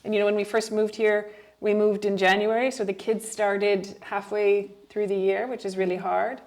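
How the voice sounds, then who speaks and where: A middle-aged woman from Canada whose first language is French, talking expressively in a relaxed interview, with frequent laughs and thoughtful restarts. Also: mid-range voice, moderate pace. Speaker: guest. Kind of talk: casual conversational interview.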